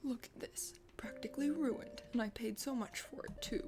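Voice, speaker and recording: A woman's voice: a little high, normal speed, whispered, music in the background, close to an everyday voice. A woman voicing a haughty, vain young warrior prince in a video game read-aloud, fussy and indignant. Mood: haughty, huffy. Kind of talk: breathy ASMR game character read-aloud.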